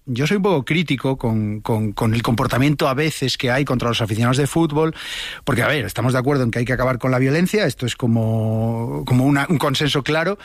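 A man's voice: medium pitch, fairly quick, no room sound. A man with an accent from Spain, speaking as a contributor in a casual radio chat on a studio microphone. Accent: Spanish from Spain. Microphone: close studio radio microphone.

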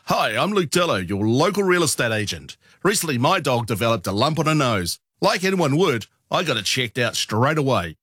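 Husky man in a testimonial advert. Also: medium pitch, sing-song, normal speed, hardly any pauses, a bit bright, dry recording, soft background music. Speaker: advert voice, introduced as estate agent.

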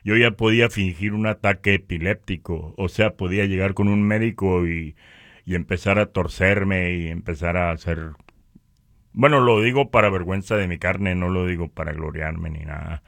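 A man from northern Mexico with a Mexican accent, giving a conversational religious testimony in a halting way, repeating words. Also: sits low, gravelly, fairly bright tone, dry recording, clean studio sound.

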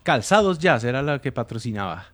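A man spins long, rambling anecdotes in a relaxed studio chat. His voice sits at an ordinary middle pitch, and he restarts his sentences often as he recalls names and dates. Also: brisk. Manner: chatty, tells long stories with many restarts. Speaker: comedian and show regular. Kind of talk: anecdotal storytelling in casual chat.

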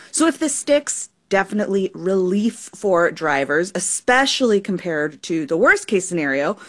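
Woman, news reporter, animated pitch, explanatory. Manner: explanatory, lively delivery. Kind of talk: news interview.

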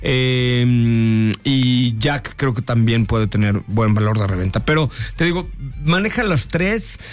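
A man's voice: mid-range voice, expressive, slow, faint music behind. A man with a Mexican accent hosting a casual car talk show, joking and enthusiastic. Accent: Mexican Spanish.

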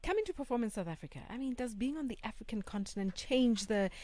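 A woman's voice: fairly high pitch, expressive, fast, mellow. A woman hosting a radio interview, encouraging her guest as she asks questions. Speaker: radio host.

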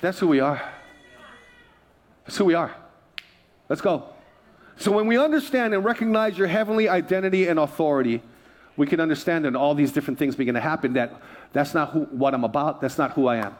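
Male pastor from Hawaii, higher-than-average pitch, sermon delivery, emphatic and repetitive. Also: lively intonation, fairly quick, long pauses. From Hawaii, United States. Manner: emphatic, repeats phrases, prompts the listeners. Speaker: pastor preaching to a congregation.

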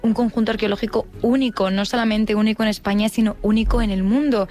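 A woman's voice: mid-range voice, fairly even intonation, quick pace, darkish tone, soft background music. A woman archaeologist explaining something emphatically in an interview.

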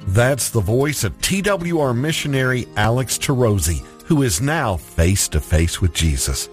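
A man's voice: mid-range voice, sing-song, a little slow, soft background music. A man hosting a scripted radio feature, introducing the guest and reacting to him with enthusiasm. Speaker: radio program host.

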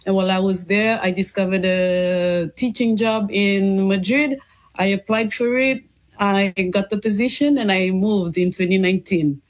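A woman from Fiji, answering interview questions conversationally and reflectively, pausing to find her words. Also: medium pitch. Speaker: interview guest, English teacher and linguist.

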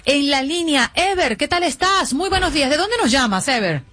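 Bright dry-voiced woman radio host. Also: high voice, expressive, moderate pace, dry recording. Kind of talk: call-in radio hosting. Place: radio studio.

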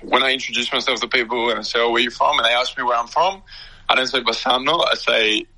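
A young Australian man in his twenties talks openly and loosely, with "you know" and "like" scattered through his answers. His voice is strikingly bright and forward, even at middle pitch. He speaks as a relaxed radio interview guest. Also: quick pace, rough recording. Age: 20s. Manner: candid, casual, loose with fillers like "you know".

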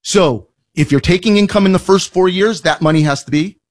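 A man hosting a financial advice radio show, talking in a blunt, emphatic way and rattling off lists. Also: high-pitched. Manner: emphatic, blunt, rapid listing. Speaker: financial advisor radio host.

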